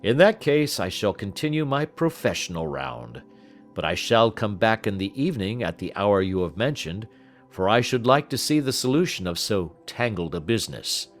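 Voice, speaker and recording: A man voicing a curious, earnest gentleman doctor in an audiobook, plain and courteous in manner. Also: lowish voice, animated, normal speed, soft background music. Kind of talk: audiobook character voice.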